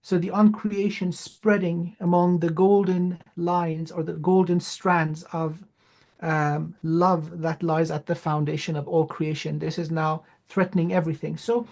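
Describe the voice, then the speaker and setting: A man whose first language is Farsi, speaking as an interview guest with many "uh" pauses and emphatic repetition. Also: high voice, gravelly, a little mellow. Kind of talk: spiritual interview talk.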